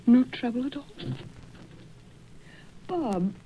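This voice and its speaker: On a muffled old radio recording, a grieving mother. Her high voice moves slowly and deliberately, tender and full of mourning. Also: lively intonation, husky, heavily acted. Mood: sorrowful, gentle. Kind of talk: old-time radio drama acting.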